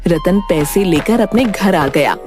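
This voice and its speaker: A woman's voice: fairly low pitch, lively intonation, a little slow. Woman narrating stories over faint music. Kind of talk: scripted storytelling narration.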